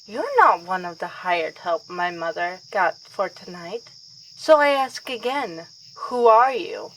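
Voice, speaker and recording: A woman's voice: on the low side, slow pace, a little hiss or hum, exaggerated voice, poor audio. A woman playing a young noble vampire princess in an ASMR roleplay, affectionate and formal in her wording. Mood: indignant. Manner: formal, expressive, aristocratic wording.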